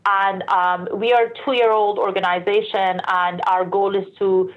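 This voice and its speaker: The sound is dull and slightly lo-fi, carrying a woman's mid-pitched voice. She is from Iran and later moved to Canada. She speaks as an interview guest, explaining things conversationally and correcting herself along the way. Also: little pitch movement, a little slow. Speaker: interview guest, sports industry professional. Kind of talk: casual interview answers. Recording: dull, lo-fi band-limited sound.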